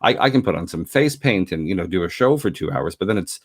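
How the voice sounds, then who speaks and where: Man, low-pitched voice, from Canada, effusive, rambling, repeats words, casual conversation, dry close sound. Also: expressive, quick pace, dry recording. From Canada, grew up in Montreal.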